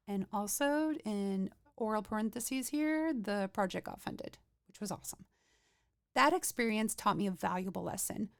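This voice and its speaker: A woman's voice: a little high, darkish tone, no room sound, clean studio sound. A woman hosting her own podcast, talking through a scripted episode in an upbeat, motivational and conversational way. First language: not Swedish. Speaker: podcast host.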